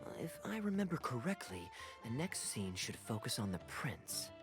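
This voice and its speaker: A man acting as a suave, easygoing game adventurer with playful charm. His pitch sits in the middle and swings expressively as he teases in smooth, courteous phrases. Music plays underneath. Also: very animated, normal speed, natural voice.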